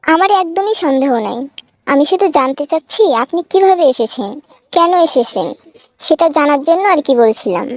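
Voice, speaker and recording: A woman's voice: high voice, lively intonation, normal speed, no room sound. A woman playing a polite, curious young university student from the city in a cartoon comedy, with a standard Dhaka accent and a questioning manner. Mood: inquisitive. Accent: standard Dhaka Bangla.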